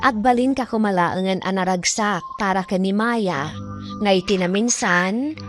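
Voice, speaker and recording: A woman's voice: mid-range voice, unhurried. Ilocano woman narrating a radio drama, music behind. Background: faint music.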